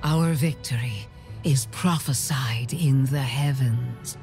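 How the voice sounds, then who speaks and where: Low, dark-voiced zealous high priestess. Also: female voice, slow pace, soft background music. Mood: solemn, devout. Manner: solemn, reverent, commanding. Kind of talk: dramatic video game cutscene acting.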